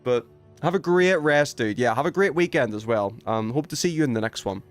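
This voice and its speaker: Male game streamer, very lively intonation, loose slangy chat, thinks out loud, music behind. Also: medium pitch, brisk. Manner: thinking aloud, self-questioning, trailing off; informal slangy speech. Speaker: game livestreamer. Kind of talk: casual livestream gameplay chat.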